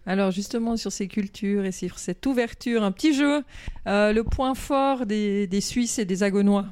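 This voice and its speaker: A woman hosting a radio interview, asking questions in a lively, friendly way. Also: mid-range voice, moderate pace, no room sound. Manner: lively, laughs easily. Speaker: radio host.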